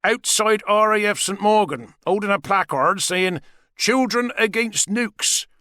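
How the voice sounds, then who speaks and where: A man's voice: high-pitched, a little slow, sharp tone, no room sound, clean studio sound, voice pushed up. A man narrating an audiobook, voicing a middle-aged father who chats breezily about his past in a raised voice. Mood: chatty.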